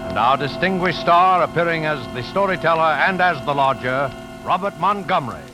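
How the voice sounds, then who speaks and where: Ominous old-time radio host over music. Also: male voice, on the high side, expressive, rough recording. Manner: ominous, formal, measured phrasing. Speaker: announcer and host. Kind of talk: 1940s radio dramatic scripted narration.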